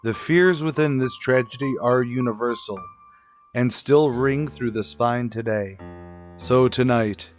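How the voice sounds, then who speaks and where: A man introducing a suspense radio play as its host, in grandiose, deliberate, dramatic scripted narration. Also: mid-range voice, soft background music.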